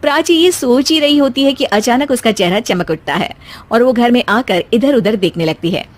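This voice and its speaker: High-voiced woman narrating a story. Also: lively intonation, moderate pace.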